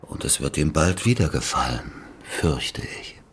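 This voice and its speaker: An actor playing a wry, grimly resigned Soviet regional official in a German radio drama, with ponderous, theatrical phrasing. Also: male voice, very deep voice, animated, unhurried, very noisy, heavily acted. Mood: ominous.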